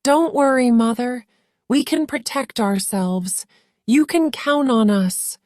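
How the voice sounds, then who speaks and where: A woman's voice: on the high side, slow pace, a little mellow, no echo, studio quality, pitched above the performer's own voice. A woman doing eager little goat kids. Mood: confident.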